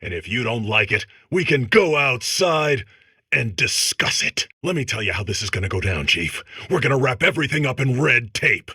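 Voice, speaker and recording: An actor playing an intense, earnest by-the-book cop robot, melodramatic and raspy, parodying action-film tough guys. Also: male voice, mid-range voice, animated, moderate pace, sharp tone, no echo, clean studio sound, full character voice. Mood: intense, defiant. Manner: melodramatic tough-guy delivery.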